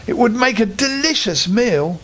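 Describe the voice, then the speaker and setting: A plucky storybook boy, played by a man, high in pitch and very animated. He sounds eager, young and urgent. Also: measured pace, exaggerated voice.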